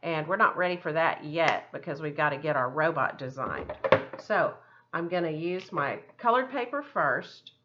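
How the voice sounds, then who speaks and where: A woman's voice: sits low, lively intonation, normal speed, heavy background noise. A woman art teacher giving children a step-by-step craft lesson in simple, encouraging words, often thinking aloud, on a dull lo-fi recording.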